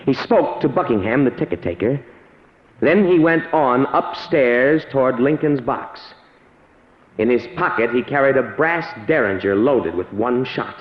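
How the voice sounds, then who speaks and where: Actor as an earnest young assistant, echoey old radio. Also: male voice, medium pitch, animated, close to an everyday voice. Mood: grave narration. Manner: dramatic, deliberate, respectful. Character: earnest young research assistant, man, intense and awed. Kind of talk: old radio drama acting. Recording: echoey, dull vintage radio recording.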